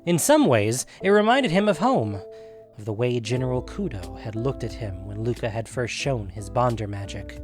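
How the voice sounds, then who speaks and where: A man reading scripted fantasy fiction as a narrator, in a measured, dramatic storytelling manner. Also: mid-range voice, big pitch swings, over music.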